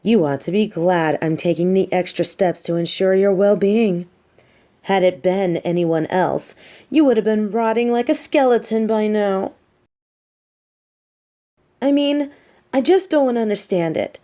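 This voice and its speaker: A woman performs a female supervillain holding someone captive in an audio roleplay. Her voice is mellow and mid-pitched, with a dark, relaxed edge. She taunts theatrically yet conversationally, smug and mean with a sympathetic streak underneath. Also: a little slow, lightly acted. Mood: impatient, puzzled. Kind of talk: roleplay audio drama monologue.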